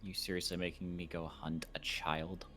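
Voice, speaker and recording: Surly guild mercenary played by a man: very low, grumbling, sarcastic, for a game roleplay. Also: level tone, normal speed, pushed and bright. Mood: grudging. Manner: grumbling, curt. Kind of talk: improvised Minecraft roleplay acting.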